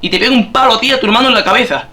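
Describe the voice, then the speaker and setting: A man with a Spanish accent and a high, very sharp, forceful voice. He plays a prank caller, pressing his point insistently and repeating himself. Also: rapid-fire. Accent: Spanish (Spain). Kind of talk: prank phone call, pretending to be a cheated buyer.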